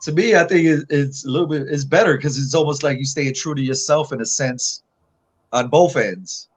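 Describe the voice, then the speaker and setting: A man's voice: fairly high pitch, moderate pace. A man hosting a casual interview, often agreeing and thanking his guest as he closes out the conversation. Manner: agreeable, backchannels a lot. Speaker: interview host.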